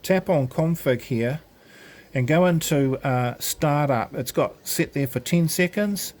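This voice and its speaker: A man's voice: on the high side, a little slow, darkish tone. Man giving a casual step-by-step demo. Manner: casual, hesitant, step-by-step explaining. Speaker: presenter of an amateur radio gadget demo. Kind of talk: informal product demonstration, unscripted tutorial.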